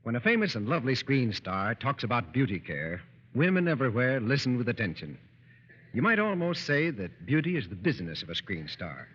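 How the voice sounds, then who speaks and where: A man's voice: medium pitch, very animated, a little fast, a bit bright, husky. A man delivering a scripted announcement in vintage radio announcer style. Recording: muffled old radio, long hall reverb.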